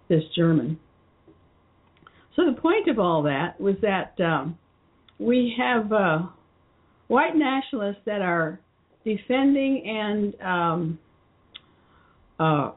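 A woman hosting her own show, talking through an unscripted commentary in a halting way with many fillers and restarts. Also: medium pitch, husky. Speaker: show host. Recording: muffled phone-line audio.

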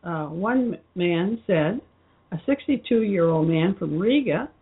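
A woman hosting her own show, talking through an unscripted commentary in a halting way with many fillers and restarts. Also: fairly low pitch, animated, slightly rough. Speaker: show host. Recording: muffled phone-line audio.

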